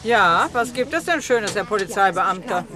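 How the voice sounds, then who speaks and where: Ein Schauspieler spielt in einer Krimikomödie im Radio einen übertrieben höflichen, neckischen Bandenchef. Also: male voice, sits high, expressive, normal speed, a crowd in the background. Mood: amused, mock-friendly. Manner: exaggeratedly polite, teasing. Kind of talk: radio comedy crime drama acting.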